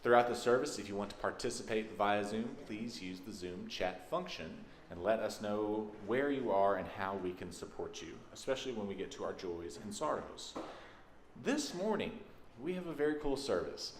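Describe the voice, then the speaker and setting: A man's voice: fairly low pitch, lively intonation, normal speed. A man with a Texas accent, a minister giving a conversational, folksy welcome to a congregation at a church service. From Texas, United States. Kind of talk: informal spoken welcome to a congregation. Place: large church sanctuary, indoors. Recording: echoey sanctuary with background noise.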